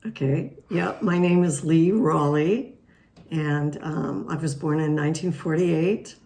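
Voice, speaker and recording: A woman in her seventies from Utah, answering interview questions in an unhurried way with small laughs. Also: sits low, creaky, warm tone. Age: 70s.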